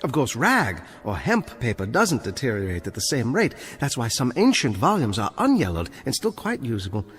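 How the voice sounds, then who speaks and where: An actor in a radio drama playing an earnest, bookish librarian on trial, polite and hesitant yet pedantic and defiant. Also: male voice, mid-range voice, huge pitch swings, moderate pace, hardly any pauses, a bit bright, big-room sound, soft background music. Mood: earnest, eager.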